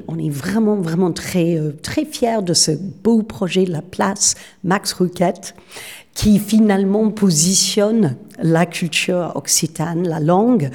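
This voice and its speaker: A woman's voice: fairly low pitch, warm tone. A woman, speaking as a city official in an interview at a public event, talking eagerly and often repeating words as she searches for the next one.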